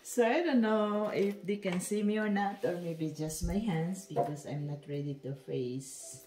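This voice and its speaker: A woman's voice: lowish voice, a little slow, dark tone, slight background noise. A woman whose first language is Tagalog, chatting casually in broken English while unboxing perfume at home. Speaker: vlogger. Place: dining room at home. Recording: echoey room.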